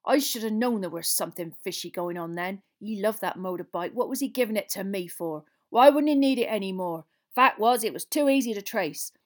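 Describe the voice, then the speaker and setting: A woman voicing a gruff, loyal giant man in a storybook, with a growling, rough and folksy manner. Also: mid-range voice, expressive, fairly quick, hardly any pauses, lightly acted. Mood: bitter.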